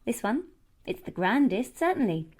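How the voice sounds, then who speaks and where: Sly, mellow young kitchen maid. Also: female voice, a little high, sing-song, moderate pace, slightly rough. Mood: sly, accusing. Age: young adult. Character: sly, probing young kitchen maid with hidden vengeful purpose. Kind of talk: audio horror drama acting.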